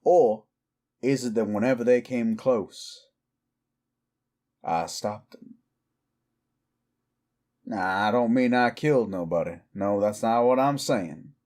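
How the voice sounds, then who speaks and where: Slow, low-voiced cowboy outlaw, acted by a man. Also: darkish tone. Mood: cocky, mocking. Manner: slow, deliberate, goading, rhetorical questions. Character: cowboy outlaw werewolf, taunting, brooding, defiant. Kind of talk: intimate roleplay audio drama acting.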